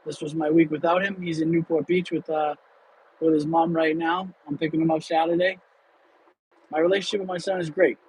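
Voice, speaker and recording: A man in his late thirties hosts an off-the-cuff livestream from behind the wheel, answering viewers candidly and rambling through restarts. His voice sits a little high for a man. A faint hum of the car in traffic runs underneath. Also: level tone, a little fast, darkish tone, low-quality recording. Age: 30s. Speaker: livestream host. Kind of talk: casual livestream chat.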